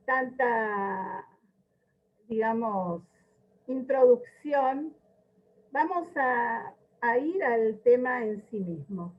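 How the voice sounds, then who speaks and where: A woman giving an informal history lecture with storytelling, speaking emphatically and repeating words for weight, over a video call. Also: high-pitched. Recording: dull, lo-fi video call audio.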